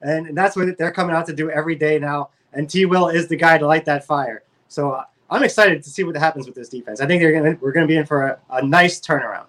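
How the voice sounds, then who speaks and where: Male sports panelist, American, fairly high voice, rapid casual talk. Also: a bit bright.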